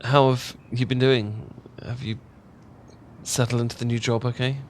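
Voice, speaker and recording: A man's voice: mid-range voice, level tone, normal speed, a little hiss or hum, lightly acted. Bashful, chatty young English guy, acted by a man. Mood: warm, chatty. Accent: British, English. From England. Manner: chatty, bashful, stammering when flustered. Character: bashful, affectionate young English male roommate, playful and rambling. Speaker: audio roleplay voice actor. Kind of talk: intimate ASMR roleplay acting.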